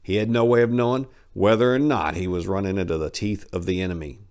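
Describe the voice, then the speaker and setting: A man's voice: low-pitched, steady tone, fast, darkish tone, clean tone. A man narrating a short story as a dramatic reading, as its host.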